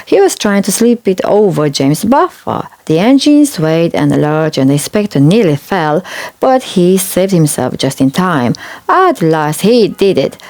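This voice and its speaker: A woman reading a children's storybook aloud in an expressive way. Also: lowish voice, measured pace. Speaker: storybook reader.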